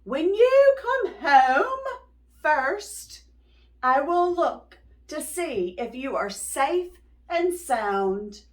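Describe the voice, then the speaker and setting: A woman voicing a caring old grandmother in a children's storybook, giving firm warnings in emphatic, drawn-out words. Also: sits high, big pitch swings, voice pushed up, exaggerated voice.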